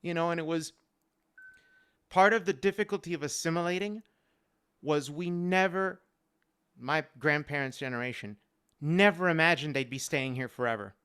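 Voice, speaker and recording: Clear-voiced man telling stories earnestly. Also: on the high side, normal speed, pauses often, bright, forceful tone. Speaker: panel guest. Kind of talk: earnest personal storytelling in a live online panel. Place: remote live-stream video call.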